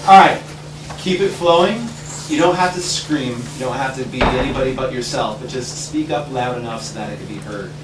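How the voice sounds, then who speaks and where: A man leading a group, giving informal, directive instructions and repeating himself to be clear. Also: mid-range voice, fairly quick. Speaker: reading group leader, teacher. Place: indoor room with a group. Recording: echoey room, far mic, noisy hum.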